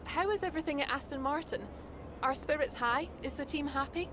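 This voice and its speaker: High-voiced upbeat trackside interviewer, a woman acting. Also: fairly quick, noisy background, natural voice. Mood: polite, probing. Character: polite, upbeat female trackside sports interviewer. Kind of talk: broadcast interview in a video game.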